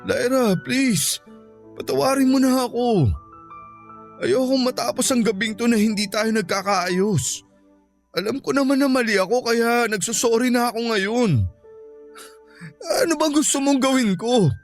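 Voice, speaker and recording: Insistent, hot-tempered young boyfriend. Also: male voice, very high voice, a little slow, long pauses, soft background music. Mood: remorseful, begging. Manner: insistent, dramatic pleading. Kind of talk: Filipino radio drama acting.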